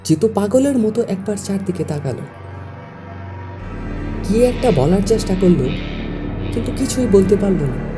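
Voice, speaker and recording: A woman's voice: mid-range voice, expressive, normal speed, pauses often. A woman reading a scripted first-person horror story as its narrator. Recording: music behind the voice. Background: music.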